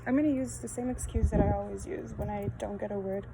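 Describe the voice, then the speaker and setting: A woman's voice: mid-range voice, steady tone, quick pace, vocal fry. A young adult woman whose first language is Spanish, chatting casually and rambling with lots of fillers while she does a makeup tutorial outdoors in a backyard. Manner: casual, rambling, self-deprecating, frequent fillers. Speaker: beauty vlogger. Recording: outdoor wind and ambient noise.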